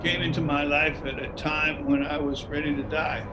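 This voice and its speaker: A man's voice: on the high side, little pitch movement, moderate pace, very noisy, close to an everyday voice. A man playing a tender, grieving old man in a dramatic table read on a video call. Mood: grieving, grateful. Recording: loud music over video call.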